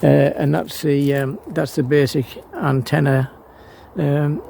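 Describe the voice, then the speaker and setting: A man gives a casual, unscripted show-and-tell in a halting, hesitant way, often pausing mid-sentence. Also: on the high side, fairly even intonation, gravelly, warm tone. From New Zealand. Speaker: amateur radio operator, presenter. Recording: noisy amateur home video.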